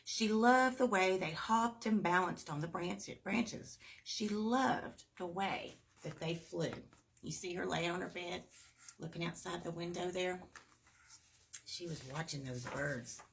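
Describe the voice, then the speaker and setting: Slow, creaky-voiced woman reading a bedtime story. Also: on the low side, slight background noise. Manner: expressive, drawn-out emphasis with asides to young listeners. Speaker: storybook reader at a performing arts school. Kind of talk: read-aloud bedtime story for children.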